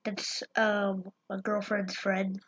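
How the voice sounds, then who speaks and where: A young speaker in casual family chat, talking hesitantly and stammering a little. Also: female voice, medium pitch, flat delivery, slow pace, gravelly, a bit bright. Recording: dull lo-fi recording.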